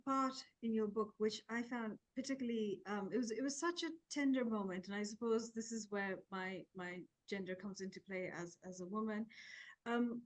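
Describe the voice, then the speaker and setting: A woman's voice: mid-range voice, moderate pace. A woman hosting an interview, asking thoughtful, searching questions and often restarting her phrases. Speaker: host. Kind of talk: interview conversation.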